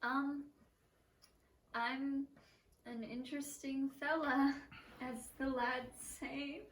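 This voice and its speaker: A woman's voice: sits high, fairly even intonation, drawn-out words, a bit bright, far from the mic, a little hiss or hum. Young woman musician guest, casual and self-deprecating, recorded off-mic in an echoey room. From Portland, Oregon. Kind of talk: casual chat.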